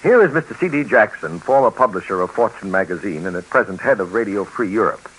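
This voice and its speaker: A man's voice: on the low side, lively intonation, fast talker, a bit bright, husky. An American man narrating a scripted 1950s radio documentary with a formal announcer delivery. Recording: faintly noisy old radio recording.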